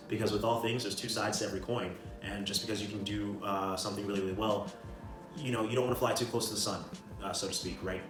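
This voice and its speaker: A man speaks in a roomy, echoing space, some way from the mic, with music behind him. His voice sits on the low side and moves quickly. He talks like a casual self-improvement vlogger, emphatic and slangy, circling back to drive his points home. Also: far from the mic. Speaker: video creator giving self-improvement advice. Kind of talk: casual motivational talk to camera.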